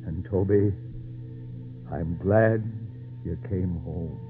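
A man playing a tender, emotional old uncle in a radio drama, speaking haltingly and repeating his words. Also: sits low. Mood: tender, moved. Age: older. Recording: tinny vintage radio recording with music.

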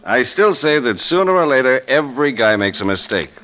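An actor playing a blunt, skeptical plainclothes cop in a 1940s radio drama. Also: male voice, medium pitch, sing-song, a little fast, fairly bright tone.

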